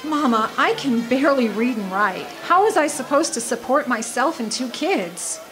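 A woman playing a loud, brassy, flashy dead woman, wry, defiant and flirtatious, in a radio drama. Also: sits high, lively intonation, moderate pace, over music. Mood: wry, hurt.

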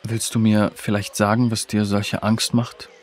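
A protective, encouraging young guy in a children's radio play. His voice is fairly low, and he talks gently and sincerely. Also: flat delivery, measured pace. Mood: sympathetic. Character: kind, protective, reassuring young male friend. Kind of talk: children's audio drama acting.

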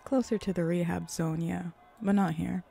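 Flirty female hellhound played by a woman for ASMR roleplay: eager, teasing, mellow, gravelly fry. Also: on the low side, steady tone, moderate pace, heavily acted. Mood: curious, flirty. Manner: teasing, sultry, with breathy whispers. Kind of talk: roleplay ASMR audio drama.

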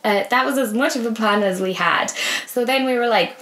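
A woman's voice: a little high, brisk, a bit bright. A young Irish woman telling stories casually as a podcast guest, in a rambling, conversational way, in a small room. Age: young adult. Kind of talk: casual storytelling chat. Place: small indoor room with beanbags. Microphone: podcast microphone on stand. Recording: roomy, echoing small room.

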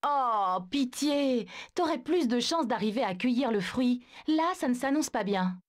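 A confident female hippo, sassy, high voice. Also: expressive, a little slow. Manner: sassy, dismissive. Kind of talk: video game dubbing.

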